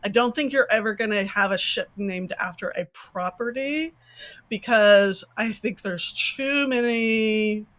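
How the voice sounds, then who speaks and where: A woman panelist in casual podcast talk, animated and thinking aloud in long runs. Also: mid-range voice.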